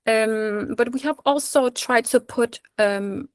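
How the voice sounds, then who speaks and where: A woman's voice: on the high side, slow pace, clear, resonant tone, no room sound, clean studio sound. A young woman, a Kurdish diaspora activist, speaks earnestly in a webinar discussion, with many ums and restarts. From Kurdistan region of Iraq. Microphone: video call.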